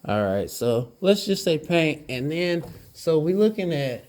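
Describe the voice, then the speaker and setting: A man talking casually and informally with a colleague during an indoor walkthrough, thinking aloud and making quick decisions. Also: a little high, sing-song, darkish tone. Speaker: property manager doing a walkthrough inspection. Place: indoors, rooms of an empty house under inspection.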